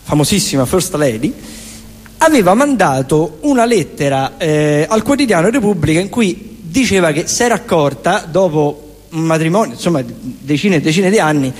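Roman man, high voice, theatrical comic storyteller, colloquial, reverberant live stage, guitar music. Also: animated, normal speed. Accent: Roman Italian. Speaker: stage performer and singer-songwriter.

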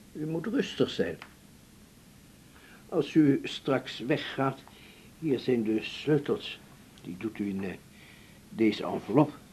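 An actor playing a courteous, composed older male patient in a radio drama, speaking gently and haltingly. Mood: calm, solemn. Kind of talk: 1980s Dutch radio drama acting. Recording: faint rumbling background noise.

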